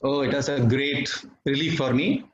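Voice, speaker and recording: A middle-aged man from Bangalore with an Indian English accent and Tamil as his mother tongue, giving a reflective, measured personal testimony with pauses. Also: mid-range voice, steady tone. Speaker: devotee sharing experiences. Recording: dull, slightly roomy recording with faint noise.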